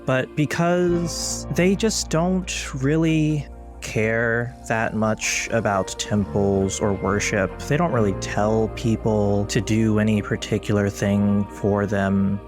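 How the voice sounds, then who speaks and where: A man narrating a tabletop actual play as game master, with measured, deliberate storyteller phrasing. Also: fairly low pitch, fairly even intonation, over music.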